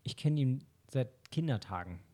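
A man with a husky voice playing a servile young detective's assistant in an improvised comedy radio play. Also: medium pitch, lively intonation, normal speed, mellow, lightly acted. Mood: conspiratorial. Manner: obsequious, conspiratorial.

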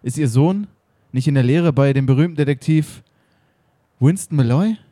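A man's voice: fairly high pitch, normal speed, dark tone, dry recording. A man narrates and plays parts in an improvised audio drama. His voice sits in a middle range and sounds close and dry. He keeps a playful, giggly tone. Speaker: narrator and actor.

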